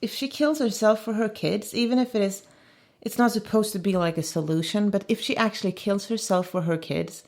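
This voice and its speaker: A woman with a Swedish accent, an actress chatting on a commentary track, quick to agree and often laughing. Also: mid-range voice, darkish tone. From Sweden. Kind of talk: casual commentary chat.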